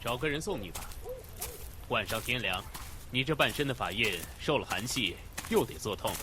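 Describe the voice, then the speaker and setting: A concerned friend played by a male actor speaks in a mid-pitched, solicitous voice for a costume audio drama. Clear background noise sits around the voice. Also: animated, moderate pace, natural voice. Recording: quiet distant voice, clear night ambience noise.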